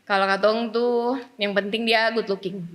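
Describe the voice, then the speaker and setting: A woman's voice: medium pitch, level tone, normal speed, fairly bright tone. A woman with an Ambonese Malay accent, a café guest on a podcast, talking frankly in casual chat.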